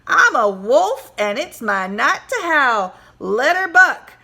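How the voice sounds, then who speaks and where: A woman's voice: high voice, big pitch swings, bright, forceful tone, heavily acted. A woman voicing a rowdy, boastful storybook cowboy who whoops, howls and draws out his brags. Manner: howling, whooping, drawn-out bragging.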